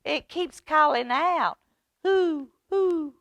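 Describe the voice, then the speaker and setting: A woman's voice: high voice, drawn-out words, a bit bright, dry recording, dull audio, voice pushed up, heavily acted. A woman voicing a small, anxious young storybook mouse who pleads and calls out urgently. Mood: frightened, urgent.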